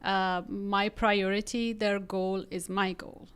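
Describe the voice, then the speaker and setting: A woman's voice: medium pitch, level tone, slow, fairly bright tone, clear, resonant tone. A woman from Iran with an Iranian accent, speaking conversationally as an interview guest about her work. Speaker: podcast guest, real estate agent.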